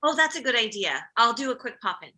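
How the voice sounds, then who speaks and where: A woman's voice: on the high side, sing-song, fast talker, sharp tone, heavily acted. A woman playing an anxious, overprotective middle-aged mother with a combative streak, in a comedy script read. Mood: worried.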